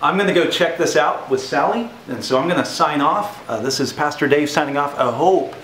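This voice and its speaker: Gently reassuring pastor man. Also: a little high, brisk. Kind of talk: children's church puppet skit. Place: studio with beach backdrop. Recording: roomy echo, distant mic, faint hiss.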